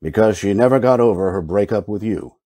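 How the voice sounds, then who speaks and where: A man acting a shrewd, world-weary detective in an old-time radio drama, deadpan and wry in delivery. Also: on the low side, fairly quick, no echo, lightly acted. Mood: coolly accusing.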